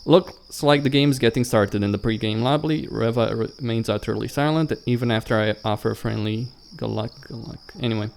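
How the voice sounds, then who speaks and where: A man's voice: medium pitch, moderate pace, gravelly, few pauses, a little mellow, a little hiss or hum. A man hosting a let's play, reading a visual novel script aloud and stumbling over some words. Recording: music behind the voice.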